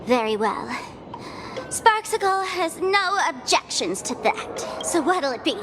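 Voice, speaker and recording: A woman's voice: very high voice, sing-song, measured pace, full character voice. A woman voicing a mischievous, theatrical young showgirl in a video game, playful and teasing. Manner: playful, teasing, sing-song. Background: loud game music.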